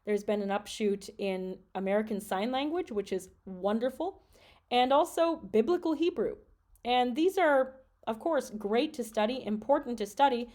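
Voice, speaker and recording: A woman's voice: a little high, animated, moderate pace, a little mellow. A woman from Michigan hosting a podcast, earnestly talking through concerns about education and reading out statistics. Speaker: podcast host and French teacher. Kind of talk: solo podcast commentary.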